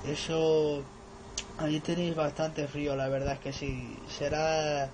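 A man's voice: fairly high pitch, little pitch movement, unhurried, background noise. A man chatting casually as a radio operator, often repeating words for emphasis. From Extremadura, Spain.

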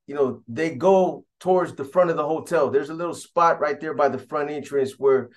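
A middle-aged man with a Californian accent tells a street crime story in a loose, slangy way, talking right to his viewers. His voice sits a touch high for a man. He is recorded on a webcam at his desk in a small room at home. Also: moderate pace. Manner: streetwise, conversational, slangy, addresses viewers directly. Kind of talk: casual true crime storytelling.

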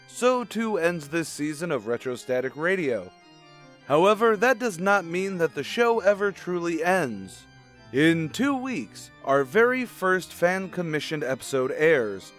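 A man's voice: a little high, fairly bright tone. A man announcing an old-time style radio show in a projected, presentational manner.

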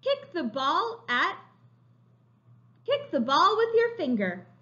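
Animated, high-voiced woman reading to children. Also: slow pace. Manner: expressive, emphatic, speaking to young children. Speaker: preschool teacher. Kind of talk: children's story read-aloud. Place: room at home, indoors. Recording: slightly dull, lo-fi webcam sound.

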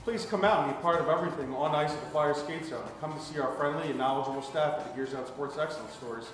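A man presenting a store promo in a slightly high voice, sounding off-mic and set back from the camera. The room rings with heavy echo and a long tail. Soft music plays underneath. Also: fast. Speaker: host. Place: hockey gear store, indoors. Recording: echoey room from far off.

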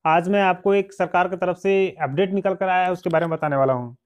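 A man's voice: high-pitched, fairly quick, clean tone. A man hosts an informal online news update. He addresses viewers directly in an explanatory way, sometimes slipping into reading aloud. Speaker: YouTube channel host.

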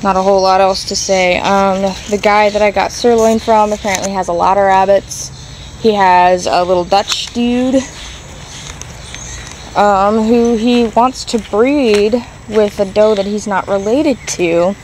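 A woman's voice: mid-range voice, steady tone, a little slow, a little mellow, a little hiss or hum. Young woman casually vlogging her rabbit barn. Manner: chatty, thinking aloud with many ums. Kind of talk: casual vlog walkthrough. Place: straw-filled barn and yard, indoors and out. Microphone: handheld phone camera.

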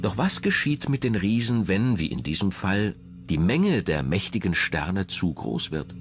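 A man reading scripted documentary narration in a measured, explanatory manner. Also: sits low, sing-song. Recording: music under the voice. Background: background music.

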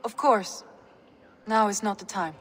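A woman's voice: mid-range voice, a little slow, slight background noise, close to an everyday voice. An actress playing a discreet, devoted Norse woman in a video game, composed and restrained. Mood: composed, resigned. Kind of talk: dramatic video game acting.

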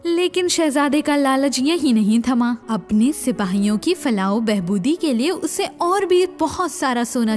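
A woman's voice: fairly high pitch, a little mellow. A woman storyteller reading a scripted fairy tale for children.

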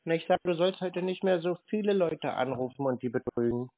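A man chatting casually on the phone as a prank caller, with a deadpan, naive-sounding manner. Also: fairly high pitch, a little fast. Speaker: host, scam-baiting prank caller. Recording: noisy narrow phone-like sound with clicks.

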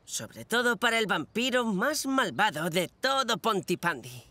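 A woman's voice: medium pitch, a little slow, dry recording, heavily acted. Mischievous boastful cartoon boy. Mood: gleeful. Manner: boastful, excitable. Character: mischievous young boy playing a vampire. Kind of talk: cartoon dubbing.

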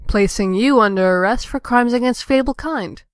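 A woman's voice: fairly high pitch, normal speed, clean tone, no echo, clean studio sound, close to an everyday voice. A woman playing a cocky, defiant young rebel in a comic dub, taunting and declamatory. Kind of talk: amateur comic dub acting.

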